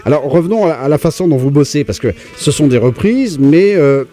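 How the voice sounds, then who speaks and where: A man's voice: fairly high pitch, expressive, a little fast, warm tone. A man hosting a radio music interview, chatty and leading the questions. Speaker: radio host.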